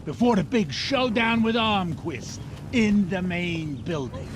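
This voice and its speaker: Wistful schemer, musing, deliberate, slow pace, animated intonation, video game, music underneath. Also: male voice, high-pitched. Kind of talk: video game cutscene acting.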